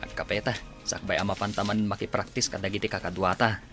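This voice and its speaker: A man's voice: lowish voice, little pitch movement, a little fast, music in the background, close to an everyday voice. An actor playing a practical young college man in a radio drama, speaking with a Filipino accent. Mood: friendly, inquiring. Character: Tindo, practical young male college friend. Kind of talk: Ilocano radio drama acting.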